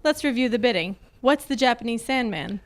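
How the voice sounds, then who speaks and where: Casting: actress as wisecracking female detective for radio mystery, breezy, sassy, fairly high voice. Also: expressive, a little fast. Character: wisecracking glamorous female private eye. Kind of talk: old-time detective radio acting.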